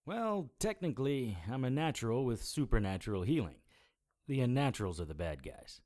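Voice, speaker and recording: A man's voice: mid-range voice, big pitch swings, fairly quick, darkish tone, close to an everyday voice. A man playing a wry, weary supernatural private investigator in an audio drama, with a dry, sardonic manner. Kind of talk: audio drama acting with first-person noir narration.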